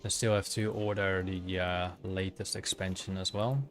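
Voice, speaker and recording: A man in his thirties with a Dutch accent chats casually to his stream viewers in a deep, low voice. He talks in halting bursts, full of fillers and restarts as he thinks aloud. Quiet game music plays behind him. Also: little pitch movement. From Netherlands. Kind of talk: casual livestream commentary while gaming.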